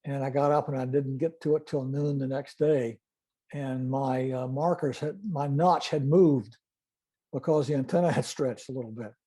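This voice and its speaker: An older man giving an informal technical presentation and demonstration, explaining methodically in a halting way. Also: medium pitch, fairly even intonation, warm tone. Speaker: club presenter, retired-style veteran telephony engineer.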